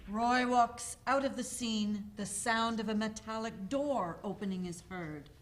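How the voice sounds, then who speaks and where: Slow-paced woman reading stage directions. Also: on the high side. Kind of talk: scripted narration of a play.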